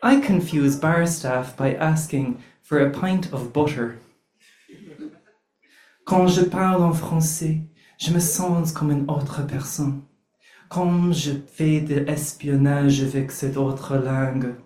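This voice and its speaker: A man's voice: a little high, steady tone, slow, darkish tone. A man performing spoken word poetry with a wry, theatrical delivery, then hosting an open mic. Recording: roomy venue sound.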